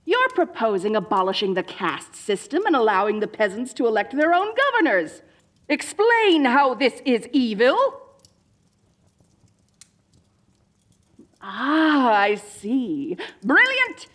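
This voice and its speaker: A woman's voice: on the high side, big pitch swings, a little slow, fairly bright tone. A woman playing a dorky evil sorceress queen in a comedic audio roleplay, theatrical, gloating and petulant, talking straight to the listener. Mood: grudging, stern, then delighted. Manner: theatrical, gloating, mock-menacing.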